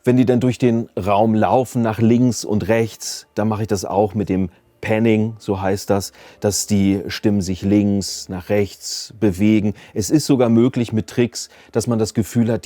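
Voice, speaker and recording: A man's voice: fairly low pitch, mellow, dry recording. A man, explaining his work as a sound designer in a casual interview. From Germany. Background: music.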